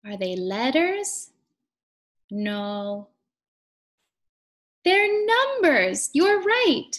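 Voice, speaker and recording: A young woman with a fairly high, very lively voice leads a children's read-aloud. She speaks slowly and deliberately, with an encouraging sing-song teacher's delivery aimed at little kids.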